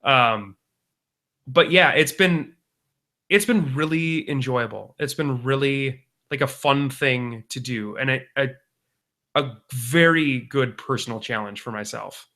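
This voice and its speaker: A man's voice: mid-range voice, slow pace, pushed and bright. A man in his thirties co-hosting a podcast, talking casually and rambling with many restarts. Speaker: podcast co-host. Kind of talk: casual chat.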